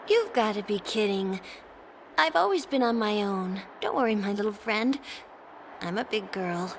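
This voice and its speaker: A woman playing a self-reliant, wryly affectionate young adventurer in a video game cutscene, with a slow, theatrical delivery and a creaky edge. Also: medium pitch, lively intonation, a little hiss or hum, close to an everyday voice. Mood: wry, wistful.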